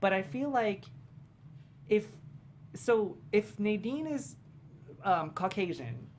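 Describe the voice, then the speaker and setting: A woman's voice: mid-range voice, expressive, slow, a little mellow, slight background noise. A woman panelist asking questions in a casual, conversational and inquisitive way.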